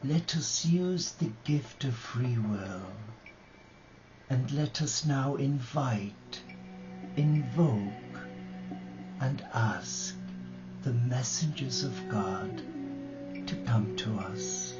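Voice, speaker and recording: A man leading a guided meditation and prayer as a religious brother, speaking gently and reverently in short phrases with long pauses. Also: mid-range voice. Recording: dull lo-fi live recording with music.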